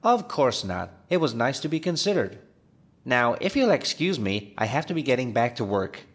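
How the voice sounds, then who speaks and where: An actor playing a timid, earnest forest ranger, polite and matter-of-fact, in a comedic audio drama. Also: male voice, mid-range voice, big pitch swings, normal speed. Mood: mild, timid.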